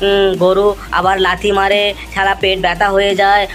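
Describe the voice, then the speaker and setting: A woman's voice: mid-range voice, flat delivery, normal speed, faint music behind, natural voice. A woman voicing a cocky, simple village pupil in an amateur animal cartoon comedy. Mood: earnest reciting.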